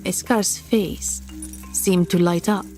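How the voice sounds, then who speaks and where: Slow-paced woman narrating a fantasy tale. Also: lowish voice, steady tone, music in the background. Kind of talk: scripted fantasy story narration.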